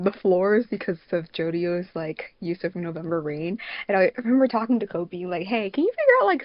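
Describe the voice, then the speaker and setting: A woman with a slightly low voice hosts a casual podcast. She is chatty and peppers her talk with "like". The recording is dry but dull and lo-fi. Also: no echo. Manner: chatty, frequent "like" as filler. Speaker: podcast host and fandub producer.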